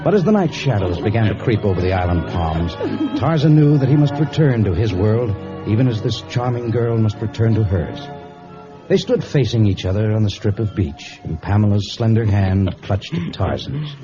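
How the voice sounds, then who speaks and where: A man's voice: fairly low pitch, sing-song, normal speed. Old-time radio serial guy narrating, big swooping delivery, muffled sound, music behind. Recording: dull vintage radio recording. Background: loud dramatic music.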